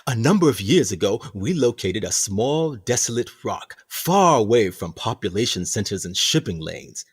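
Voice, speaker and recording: An actor playing a smug, theatrical captain of a sinister prison colony in a radio play, speaking in a pompous, deliberate, condescending way. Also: male voice, fairly low pitch, big pitch swings, slightly rough. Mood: smug, menacing.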